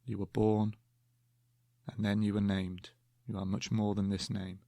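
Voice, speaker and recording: A man guiding a meditation in a calm, gentle, measured way, leaving long pauses between slow spoken instructions. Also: on the low side, creaky, no room sound, studio quality. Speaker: meditation guide.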